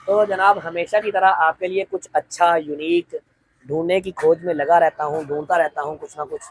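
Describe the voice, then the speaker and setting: A man's voice: a little high, fairly even intonation, moderate pace, slightly rough, rough recording. A man with a sore throat, hosting a casual shop tour vlog and chatting with shopkeepers and customers. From Pakistan. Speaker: vlogger host. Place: busy dry fruit shop.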